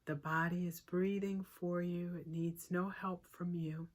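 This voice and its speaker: A woman with a slightly low voice guides a meditation at a very slow pace. She gives short, soothing cues and leaves pauses between them. Her manner is gentle and inviting, like a teacher leading practice. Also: flat delivery.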